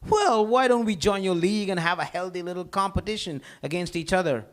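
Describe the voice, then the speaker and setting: A man's voice: sits high, a little fast, natural voice. A man acting a smug, argumentative schemer in a live comedy table read, with a mocking, emphatic delivery. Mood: smug taunting. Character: smug, argumentative, taunting male bar-owner schemer.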